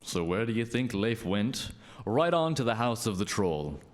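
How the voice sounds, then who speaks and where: A man's voice: on the low side, sing-song, normal speed. A man playing a storyteller, narrating a scripted folktale. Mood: storytelling.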